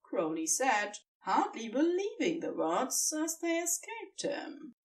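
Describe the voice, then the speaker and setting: Female narrator for fan fiction. Lively intonation, unhurried pace, expressive storytelling, a little off-mic. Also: high-pitched, far from the mic.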